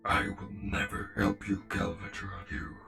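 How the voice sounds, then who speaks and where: Gravelly, solemn robot commander. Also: male voice, on the low side, completely flat delivery, sharp tone, faint music behind, heavily acted. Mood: grave, disillusioned. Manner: formal, deliberate, portentous. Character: stern, solemn male robot commander, measured and betrayed. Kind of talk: fan comic dub voice acting.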